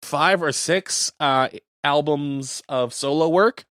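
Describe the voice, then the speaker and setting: A man's voice: fairly high pitch, takes it slowly, fairly bright tone, clear, resonant tone, dry recording, studio quality. A man co-hosting community radio, chatting casually and reading out show listings. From Canada.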